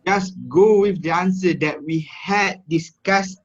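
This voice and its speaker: Give me a man as a slow, husky, stubborn student. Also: sits high, fairly even intonation, muffled recording. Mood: dismissive. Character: blunt, dismissive student, stubborn and cool. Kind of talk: amateur dramatic reading.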